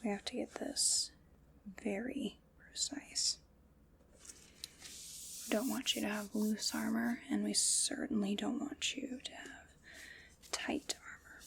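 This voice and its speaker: A calm, precise female armorer played by a woman leans close and measures you in soft, mostly breathy whispers for an ASMR roleplay. Her slightly high voice has a gravelly edge, and she takes her time, slipping in wry little jokes. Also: slight background noise. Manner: gentle, precise and wryly joking.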